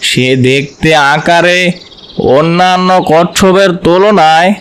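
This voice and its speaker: A man playing a grave, wise sorcerer who instructs jungle animals in a children's cartoon, speaking deliberately. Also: high voice, a bit bright, clean tone. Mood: solemn. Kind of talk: animated children's story voice acting.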